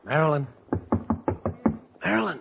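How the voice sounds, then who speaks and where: An actor playing a brash, bitter, tough-talking newspaper reporter in a clipped 1940s radio drama style. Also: male voice, fairly high pitch, lively intonation, a little slow, gravelly, over music, full character voice. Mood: cold, menacing. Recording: noisy lo-fi 1940s radio recording.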